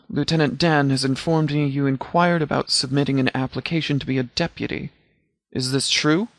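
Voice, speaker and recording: Game role: male actor as a composed department commander, dry and precise, mid-pitched male voice, close dry sound. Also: dry recording, lightly acted. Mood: formal, composed. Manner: measured, formal, precise, deadpan. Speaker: game character, law officer. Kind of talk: video game dialogue voice lines.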